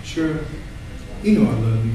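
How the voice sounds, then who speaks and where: An actor playing a jealous, abusive husband in a live stage play, threatening and possessive in manner. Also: male voice, medium pitch, lively intonation, normal speed, mellow, a little hiss or hum, lightly acted. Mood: menacing, possessive. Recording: echoey stage hall, distant mic, crowd.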